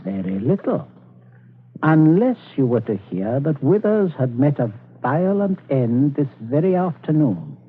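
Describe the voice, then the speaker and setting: A man's voice: medium pitch, very animated, husky. An actor playing a middle-aged father, outwardly genial but coldly calculating and menacing, sometimes stammering, in a 1940s radio drama style. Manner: stammering when flustered, deliberate. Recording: noisy, tinny 1940s radio recording.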